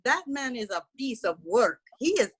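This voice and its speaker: A woman's voice: a little high, sing-song, normal speed, fairly bright tone, husky, heavily acted. A woman voicing a brash, gossipy middle-aged housekeeper, talking bluntly in broken English. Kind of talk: character stand-up comedy.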